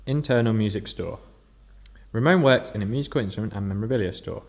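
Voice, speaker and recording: Quick, low-voiced male narrator. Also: a little mellow. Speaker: stage direction narrator. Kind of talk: scripted narration.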